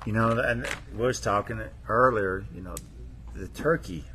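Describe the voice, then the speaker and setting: Low-voiced Southern man, folksy. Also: normal speed, noisy background. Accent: Southern US, Tennessee. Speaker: co-host of an outdoors talk show. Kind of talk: casual hunting chat.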